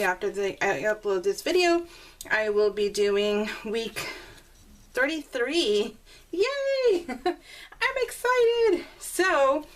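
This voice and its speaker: Giggly, chatty woman with a higher voice. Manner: chatty and giggly, rambling with frequent laughs. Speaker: scrapbooking video creator. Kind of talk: casual voice-over while crafting.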